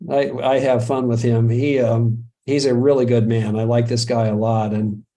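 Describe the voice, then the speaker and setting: American man, middle-aged, webinar host, warm mellow tone, chatty, self-deprecating. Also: mid-range voice, level tone, moderate pace. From United States. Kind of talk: casual live webinar talk.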